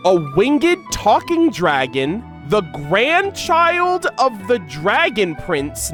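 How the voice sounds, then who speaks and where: An American man giving casual, informal reaction commentary as he thinks aloud about game lore and reads bits of it out. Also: sits high. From United States. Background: music.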